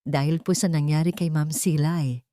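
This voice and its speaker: A woman's voice: sits low, fairly even intonation, moderate pace, mellow, no echo, clean studio sound, close to an everyday voice. A woman voicing a grieving, polite young woman who seeks justice for her father in an audiobook drama, solemn and holding back emotion. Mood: sorrowful.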